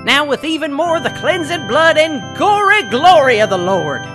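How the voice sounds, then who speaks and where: An earnest church actor, played by a woman, pitches tickets in a parody radio ad. The voice is high and very animated, with salesy zeal. Music plays clearly underneath. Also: moderate pace.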